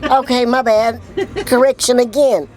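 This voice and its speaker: A woman's voice: a little high, steady tone, moderate pace, music in the background. A woman in her twenties hosting a casual vlog, speaking in an informal, slangy, exclamatory way. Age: 20s. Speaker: vlog host.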